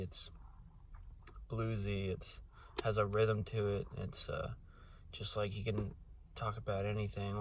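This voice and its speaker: A man's voice: on the low side, creaky. A young man giving a casual, rambling book review, full of fillers like "um" and "uh" and restarted sentences. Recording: distant mic with faint background noise.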